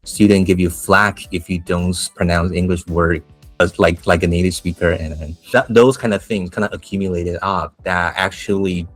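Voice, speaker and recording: Man, Taiwanese accent, English teacher, casual podcast chat, lowish voice, dry sound. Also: moderate pace, no echo, soft background music. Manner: animated storytelling, frequent restarts and laughs.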